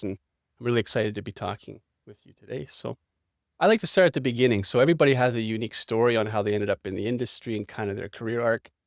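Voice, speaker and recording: Fast-talking, gravelly podcast host. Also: male voice, on the low side, dry recording, clean studio sound. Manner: upbeat, conversational, quick questions. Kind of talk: podcast interview.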